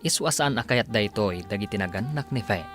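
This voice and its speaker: A man's voice: fairly low pitch, animated, fairly quick, music in the background. A man with a Filipino accent giving dramatic scripted narration as a radio drama announcer.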